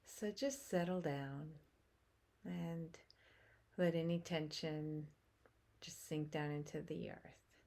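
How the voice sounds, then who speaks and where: A woman guiding a meditation as a teacher, speaking in short phrases with long pauses and hushed endings. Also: deep voice, steady tone. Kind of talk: guided meditation, slow spoken instruction.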